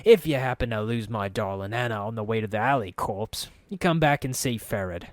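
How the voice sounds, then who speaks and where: A man voicing a menacing older man on a crutch, quiet, silky and dangerous. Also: on the low side, animated, a little fast, dry recording, studio quality, lightly acted.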